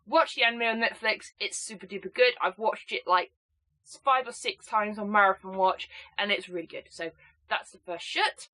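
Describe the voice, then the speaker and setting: A woman with a snuffly cold, chatting excitably and rambling through an unboxing review. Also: mid-range voice, moderate pace, rough recording. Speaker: anime box reviewer.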